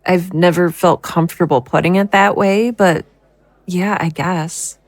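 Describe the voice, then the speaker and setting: A woman acting a fragile, troubled psychic in an audio drama, husky and hesitant in her speech. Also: lowish voice, fairly even intonation, a little slow, dry recording. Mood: subdued, hesitant. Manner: hesitant, faltering. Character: distraught, fragile psychic woman at breaking point.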